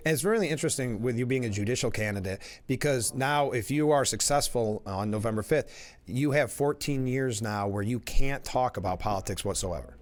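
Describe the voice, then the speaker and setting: A man's voice: medium pitch, a little fast. A man hosting a morning talk radio show, affable and interviewing a guest. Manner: affable, chuckles often. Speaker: radio host.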